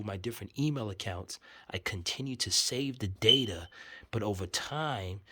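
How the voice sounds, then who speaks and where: A man talks in a low, rambling, self-assured way, reeling off numbers one after another. The sound is dry and close, with no room around it. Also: a bit bright, dry recording. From New York City. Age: 30s. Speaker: vlogger. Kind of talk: casual spoken update.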